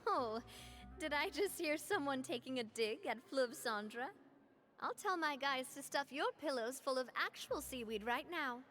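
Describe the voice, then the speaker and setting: A woman's voice: high-pitched, animated, normal speed, fairly bright tone, a little hiss or hum. A woman voicing a confident, easygoing young woman in a video game who teases her friends and laughs often. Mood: teasing. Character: confident young woman leader, warm and playful. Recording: game audio with faint music underneath.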